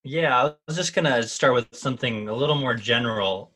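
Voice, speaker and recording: A man's voice: medium pitch, brisk, pushed and bright. A man co-hosting a comics podcast, asking long conversational questions with frequent restarts. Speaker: podcast co-host. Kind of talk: casual interview questions.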